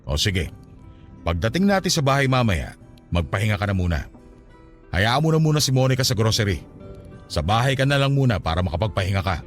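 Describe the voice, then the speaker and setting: Caring, probing man, very lively intonation. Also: fairly low pitch, a little fast, pauses often, faint music behind. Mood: concerned. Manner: probing, solicitous. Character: caring, inquisitive male partner, gently suspicious. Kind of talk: Tagalog radio drama acting.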